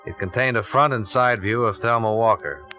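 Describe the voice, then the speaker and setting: Crisp, bright-voiced radio detective. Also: male voice, lowish voice, normal speed. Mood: matter-of-fact. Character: cool, methodical male insurance investigator on a case. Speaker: lead actor and narrator. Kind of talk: 1950s radio detective drama acting. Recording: dull vintage radio recording, music.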